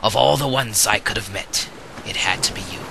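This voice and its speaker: An honourable, solemn warrior of audio drama, played by a man. He speaks formally and with measured diction in a low voice. There is clear noise in the recording. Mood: solemn, respectful. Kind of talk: fan audio drama acting.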